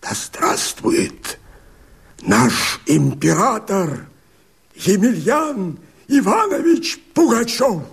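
An actor playing a rough, bloodthirsty rebel follower in a verse radio play, husky and halting, with a tendency to drop toward a whisper. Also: male voice, high-pitched, very animated, heavily acted. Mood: menacing, fervent.

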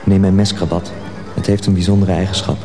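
Guy acting solemn young mill worker, low mellow voice, echoey with faint music. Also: level tone, a little fast, lightly acted. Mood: solemn, warning. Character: grave young miller's man, solemn loyal friend. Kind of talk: radio drama acting.

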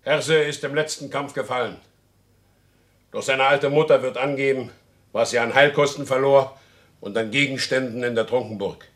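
An actor in a German radio play, playing a proud, stubborn horse dealer who demands justice in solemn, formal speech. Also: male voice, mid-range voice, a bit bright, natural voice. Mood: firm demanding.

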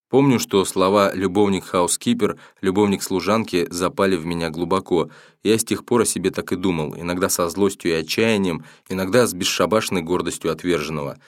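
A man narrating a first-person novel as an audiobook, in a steady, matter-of-fact, unhurried way. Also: low voice, dry recording, studio quality.

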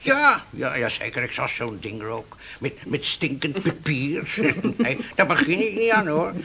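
An actor playing a garrulous, teasing old uncle in a Dutch radio play, chuckling and stumbling over repeated words. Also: male voice, fairly high pitch, big pitch swings, unhurried, gravelly, sharp tone, slightly rough, exaggerated voice. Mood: chatty, defensive. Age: older. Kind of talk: Dutch radio drama acting.

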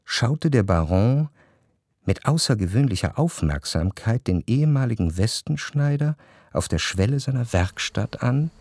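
A man playing a refined, observant first-person narrator in a radio play, reciting literary prose with measured, deliberate phrasing. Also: medium pitch, animated, a little mellow, no echo, clean studio sound. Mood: reflective, intent.

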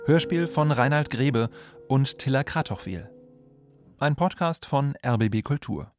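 A man's voice: medium pitch, lively intonation, measured pace. A man announcing the titles of a radio play podcast in a scripted delivery. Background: plucked guitar music.

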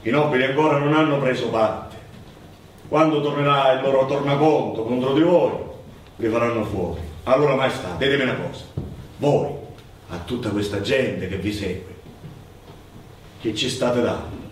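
A man plays a shrewd Sicilian nobleman, deferential yet cautionary, in theatrical style. His mid-pitched voice carries through a very roomy hall from some way off. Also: moderate pace, pauses often, lightly acted. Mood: measured warning. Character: shrewd, deferential male marquis warning a new king. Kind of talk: stage drama. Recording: very echoey hall, distant mic, faint noise.

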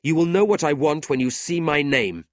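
A man voicing an indignant, honourable young gentleman, earnest and emphatic, in a storybook reading. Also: mid-range voice, sing-song, measured pace, husky, no echo, clean studio sound, voice pushed up. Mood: controlled anger. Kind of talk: audiobook character voice.

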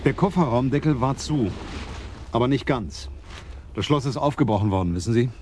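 Male constable, acted: middle register, mellow, plain reporting manner, radio crime drama. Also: medium pitch, animated, normal speed, a little hiss or hum, close to an everyday voice. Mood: matter-of-fact. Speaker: police constable. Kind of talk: 1980s radio drama acting.

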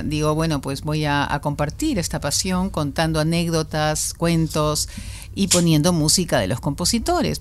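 A woman with a non-local accent tells her story with lively enthusiasm in a radio studio. Her voice is low for a woman, and she talks with warm, storytelling energy. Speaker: volunteer music teacher.

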